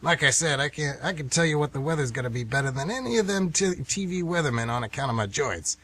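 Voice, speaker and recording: A man's voice: a little high, animated, fast talker, fairly bright tone. An actor playing a folksy, grumbling retired man who rambles and gets defensive, in a radio drama. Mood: grumbling, chatty. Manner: rambling, folksy, plain-spoken.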